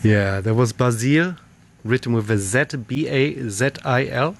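A man's voice: mid-range voice, moderate pace, vocal fry, a little hiss or hum. Male presenter, middle register, loose conversational radio links. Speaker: radio show host. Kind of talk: casual, unscripted presenting.

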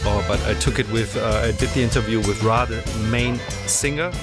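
A man's voice: on the low side, brisk. A man hosting a radio show, talking loosely and chattily with many fillers, interviewing a musician at a live music event. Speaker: radio host.

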